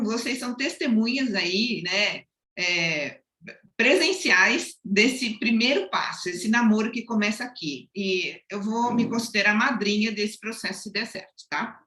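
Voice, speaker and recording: A woman's voice: on the high side, bright, forceful tone, echoey room. A woman moderating a panel webinar on a video call, chatty and effusive.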